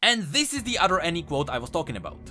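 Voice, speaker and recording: A man's voice: on the high side, wildly swooping pitch, very fast, bright, forceful tone. A man hosting a scripted explainer video as a game lore commentator.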